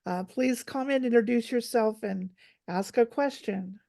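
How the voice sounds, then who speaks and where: A woman's voice: fairly high pitch, unhurried, vocal fry, mellow. Cheerful woman hosting a video call. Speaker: event host. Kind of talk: moderating a video call event.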